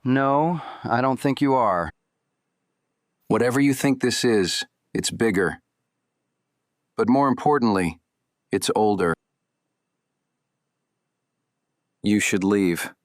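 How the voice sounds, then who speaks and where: An actor playing a guarded, weary man in a noir audio drama, speaking in terse, measured, ominous lines. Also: medium pitch, dry recording, studio quality, natural voice. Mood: ominous warning. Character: guarded, weary man warning two investigators.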